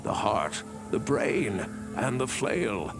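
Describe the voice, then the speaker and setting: Elderly wise lore-keeper, fantasy game: gravelly, deliberate, very expressive pitch, grave delivery over loud music. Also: male voice, full character voice. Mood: thoughtful, storytelling. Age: older. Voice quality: gravelly, creaky. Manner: slow, deliberate, portentous. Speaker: game character giving lore. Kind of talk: fantasy game narrative dialogue. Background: loud background music.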